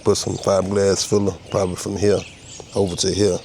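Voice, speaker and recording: Man, low voice, head cold, laid-back workshop how-to talk, faint outdoor sounds. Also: little pitch movement, brisk, a little mellow, a little hiss or hum. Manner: easygoing, talks while working, explains each tool. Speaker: custom car restorer demonstrating a repair. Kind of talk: casual step-by-step how-to narration.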